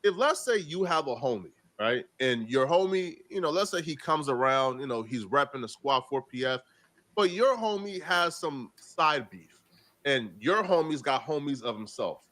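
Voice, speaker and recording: A man's voice: a little high, lively intonation, normal speed, fairly bright tone. A man giving casual reaction commentary on a court case.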